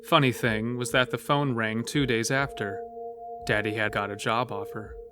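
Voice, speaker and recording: A man's voice: mid-range voice, fairly even intonation, moderate pace. A man narrating a scripted horror story as the storyteller of an audio drama. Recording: music bed under the voice. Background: loud music.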